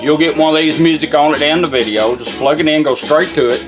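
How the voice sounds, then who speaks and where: A man's voice: a little high, steady tone, quick pace, low-quality recording. A plainspoken, folksy man giving a casual hands-on product walkthrough. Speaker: presenter demonstrating restored vintage car radios. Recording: music playing behind the voice. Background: music from the demonstrated radio.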